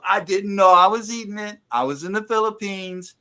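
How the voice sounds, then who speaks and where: A man's voice: sits high, moderate pace, pushed and bright, clean tone. A man co-hosting a casual chat, telling loose slangy stories and laughing along. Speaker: podcast co-host.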